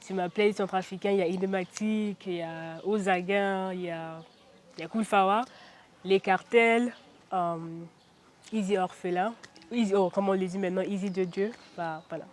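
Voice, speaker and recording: A woman's voice: mid-range voice, steady tone, moderate pace, soft background music. A young woman from the Central African Republic giving casual interview answers, chatty and often hesitating with "euh". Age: young adult. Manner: chatty, frequent hesitations with "euh", laughs now and then. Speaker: interviewee, beauty pageant titleholder.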